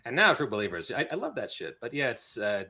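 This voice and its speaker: A male podcast host with an American accent and an average-pitched voice. He talks informally and jokes along the way, in the loose style of casual chat. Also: wildly swooping pitch, fast talker, bright, forceful tone, husky. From United States. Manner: jokey, informal.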